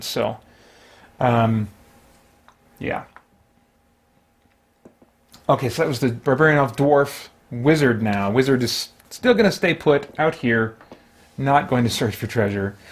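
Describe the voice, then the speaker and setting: A man hosting a solo tabletop game, talking casually and thinking aloud in a rambling way as he plays. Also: mid-range voice. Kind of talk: casual game play-through commentary.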